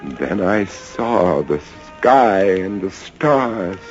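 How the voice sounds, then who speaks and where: An actor playing a haunted lighthouse keeper telling his tale in a dramatic, halting vintage radio drama style. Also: sits low, very animated, exaggerated voice. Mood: uneasy wonder. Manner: dramatic, halting, suspenseful storytelling. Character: haunted lighthouse keeper, a man, recounting a rat siege. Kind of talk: 1940s-50s radio drama narration. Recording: dull vintage radio recording, loud music behind.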